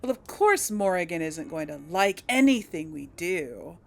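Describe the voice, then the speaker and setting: A woman's voice: on the low side, very animated, normal speed, gravelly. A woman hosting a casual role-play Let's Play, chatting and thinking aloud as she plays. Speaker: gaming host. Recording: faint noise, game ambience behind.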